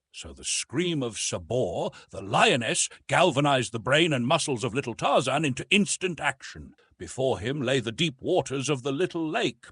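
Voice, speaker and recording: A man narrating a classic adventure novel as an audiobook, reading in a dramatic, measured literary manner. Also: mid-range voice, fairly bright tone, husky, no room sound.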